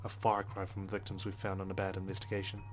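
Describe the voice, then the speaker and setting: A brooding male police detective, read by a man narrates his inner thoughts in a visual novel. The low voice is measured and solemn, with little melody, over quiet music. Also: completely flat delivery, lightly acted. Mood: somber. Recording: music behind the voice.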